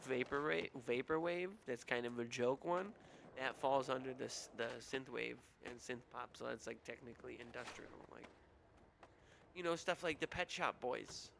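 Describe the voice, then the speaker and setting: A man's voice: mid-range voice, gravelly, sharp tone, slight background noise. A young adult man with a nasal, whiny voice, rambling casually and making crude jokes while streaming a game.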